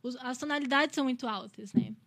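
A woman's voice: high-pitched, quick pace, fairly bright tone. A woman in her twenties from Brazil, a singer chatting casually as an interview guest, often ending phrases with a questioning tag. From Criciúma, Santa Catarina, Brazil. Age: 20s.

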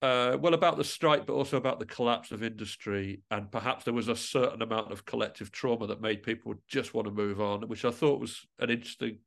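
A man gives a thoughtful, conversational interview as a musician and composer. He talks reflectively at a middling pitch, often pausing mid-thought and rephrasing as he works out what he means. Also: gravelly.

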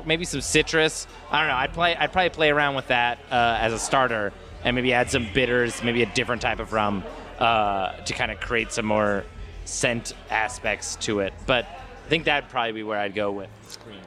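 A man's voice: mid-range voice, moderate pace, sharp tone. A man co-hosting a podcast, chatting off the cuff and thinking aloud with hesitant, repeated phrases. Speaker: podcast co-host and bartender. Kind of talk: casual unscripted podcast chat.

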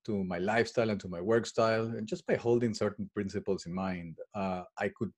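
A man's voice: fairly low pitch, steady tone, fairly quick. A middle-aged man from Peru, answering interview questions about his work in a hesitant way, with many fillers and restarts. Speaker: guest, well-being consultant and coach.

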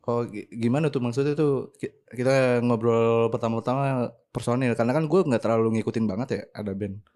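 A man's voice: mid-range voice, brisk, a little mellow. A man with a Jakarta Indonesian accent hosting a podcast in casual, slangy chat, jokey and prompting with questions. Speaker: podcast host.

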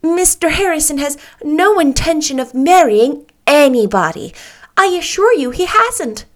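A woman reading an audiobook, voicing an eager, imaginative young schoolteacher girl who talks in an excitable, gushing way. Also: high voice, measured pace, pitched above the performer's own voice. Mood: flustered.

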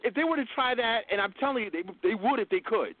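High-pitched male host, narrow phone-like sound. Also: lively intonation, fast talker, no room sound. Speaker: podcast radio host. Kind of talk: opinionated talk-show commentary.

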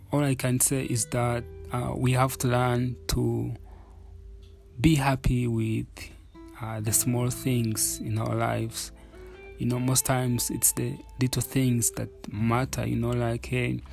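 A man from Uganda talks through his thoughts in a candid, reflective way, stopping and restarting often. His voice is gravelly and sits in an even, flat band, with faint music playing underneath. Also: medium pitch. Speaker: podcast host. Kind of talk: casual solo podcast talk.

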